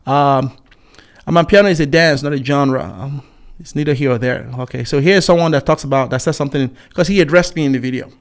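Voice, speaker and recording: A man in his forties gives casual online commentary. He reads out and reacts to viewer comments in a scornful, rambling way, with frequent fillers and restarts. His voice sits at a middling pitch. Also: a little fast. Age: 40s. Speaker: YouTube commentator.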